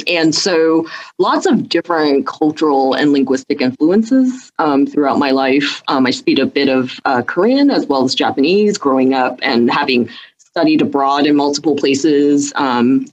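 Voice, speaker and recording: A woman from southern China who grew up in Hawaii, a native Cantonese speaker, answering interview questions as a policy official in hesitant, measured phrases. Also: low-pitched, dull audio. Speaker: podcast guest, state health policy official.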